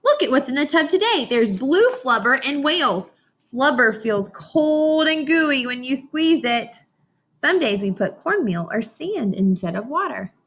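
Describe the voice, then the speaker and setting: A woman teacher reading a picture book aloud to young children, expressive, often pausing to question her listeners directly. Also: high-pitched. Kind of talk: informational read-aloud lesson for young children.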